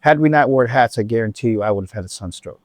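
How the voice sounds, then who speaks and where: A man's voice: mid-range voice, expressive, quick pace, dry recording. A man telling a concert story in a casual, rambling vlog chat, full of restarts and asides. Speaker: vlogger.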